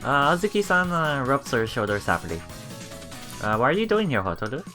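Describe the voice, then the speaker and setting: A woman's voice: very deep voice, big pitch swings, fairly quick, a bit bright. A woman doing a casual let's play, reading visual novel text aloud and reacting with giggly asides. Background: game music.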